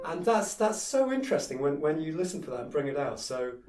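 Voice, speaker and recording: A man with a fairly high voice talks through a piano piece in a relaxed, frank way, now and then humming the tune aloud. He sounds set back from the mic in an echoey room. Piano notes ring out clearly behind him. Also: a little fast. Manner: chatty, frank, sings melodies on "da". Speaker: piano teacher. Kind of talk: casual piano tutorial, singing passages. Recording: roomy, distant mic, piano playing.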